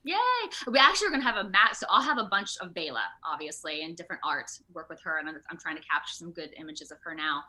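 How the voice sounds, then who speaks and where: A woman speaking as a voice actor guest in a casual, chatty interview, expressive and thinking aloud as she goes. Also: deep voice, fast, few pauses. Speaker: guest, video game voice actor. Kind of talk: casual animated interview chat. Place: remote video call.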